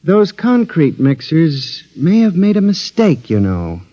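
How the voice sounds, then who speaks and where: Male, delusional, agitated patient; rambling, higher-pitched, muffled old radio drama sound. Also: slow, exaggerated voice. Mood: anxious musing. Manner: excitable, rambling, dramatic swings. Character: frantic, deluded male mental patient fearing rebellious machines. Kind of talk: 1950s radio drama acting. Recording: dull vintage radio recording.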